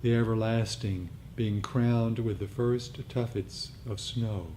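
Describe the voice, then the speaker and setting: Man, meditation leader, soft dark tone, very slow measured delivery, slight rumbling background noise. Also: fairly even intonation. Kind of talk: poem reading and gentle group instructions.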